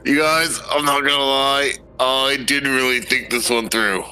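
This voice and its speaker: A man's voice: mid-range voice, fairly even intonation, a little slow, sharp tone. A man playing a blithe, reckless adventurer with oblivious cheer in a tabletop game. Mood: sheepish.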